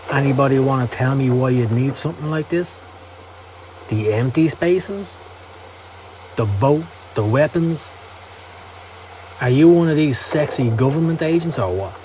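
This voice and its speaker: A man's voice: mid-range voice, a little slow, long pauses, warm tone, a little hiss or hum, lightly acted, low-quality recording. A man voicing a down-at-heel male occult hustler in a motion comic, slangy, profane and sardonic. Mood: brusque, sarcastic. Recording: music under the voice.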